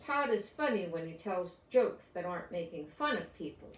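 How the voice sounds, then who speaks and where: A woman's voice: fairly low pitch, expressive, moderate pace, a little hiss or hum, natural voice. A woman voicing eager, friendly schoolchildren in a storybook, speaking in earnest, simple declarations. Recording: roomy echo, distant microphone.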